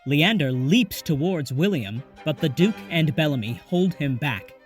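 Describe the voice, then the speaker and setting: A man's voice: fairly high pitch, expressive, unhurried, far from the mic. Man narrating stage directions over music. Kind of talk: scripted narration.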